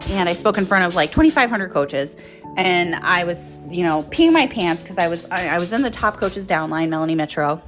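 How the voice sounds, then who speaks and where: A woman in her thirties telling personal stories casually and candidly, rambling and swearing freely as she speaks as a host. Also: lowish voice. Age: 30s. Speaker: host, author and motivational speaker. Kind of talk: casual personal storytelling monologue. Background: music.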